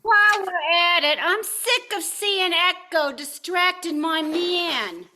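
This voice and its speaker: Woman acting jealous vindictive wife, very high sharp voice, slow sarcastic drawl, radio comedy. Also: slight background noise, exaggerated voice. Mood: angry, vindictive.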